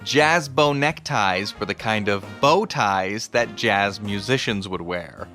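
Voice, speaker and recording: A man's voice: fairly low pitch, slow, pushed and bright, faint music behind. A man hosting a podcast with scripted, explanatory narration. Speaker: podcast host.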